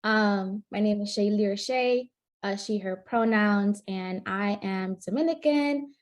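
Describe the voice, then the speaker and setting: A woman's voice: medium pitch, slow pace. Friendly young woman from New York. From New York, United States. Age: young adult. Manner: friendly, laughs often, many fillers. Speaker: panel guest. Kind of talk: casual panel talk.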